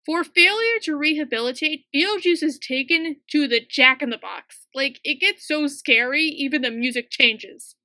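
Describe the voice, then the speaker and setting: A woman's voice: sits high, moderate pace, hardly any pauses, bright, forceful tone, dry recording. A young woman narrating a casual scripted video review, chatty and full of wry asides.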